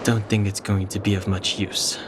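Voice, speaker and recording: A man's voice: on the low side, normal speed, heavy background noise, lightly acted. Man playing a reserved, serious young adventurer. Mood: dry, calm. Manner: dry, calm, understated. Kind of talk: video game cutscene acting.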